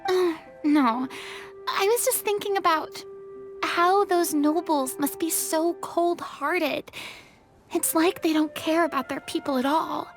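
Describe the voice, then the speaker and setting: A woman's voice: very high voice, slow pace, full character voice. An actress playing an earnest, theatrical young woman in an English-dubbed anime game, with a girlish, sometimes hesitant delivery. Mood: earnest, unsure. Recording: music behind the voice.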